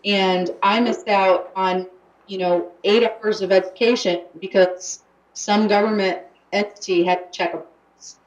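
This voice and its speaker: A woman's voice: medium pitch, fairly even intonation, measured pace. Casting: young American woman, chatty radio guest, roomy, slightly dull recording. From United States. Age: young adult. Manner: chatty, with fillers and restarts. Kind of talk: casual radio talk.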